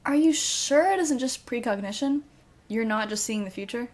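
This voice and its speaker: A woman's voice: fairly high pitch, expressive, moderate pace, darkish tone, natural voice. A woman playing a playful, bossy, direct character in a naturalistic audio drama. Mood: direct, probing. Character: playful, bossy, teasing woman who loves singing.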